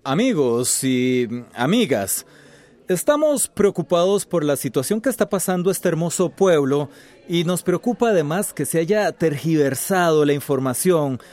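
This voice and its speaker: An actor playing a formal, pompous company executive, giving a public speech in a radio drama. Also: male voice, a little high, lively intonation, a little slow, darkish tone. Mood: grandly concerned. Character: smooth, oratorical male company executive.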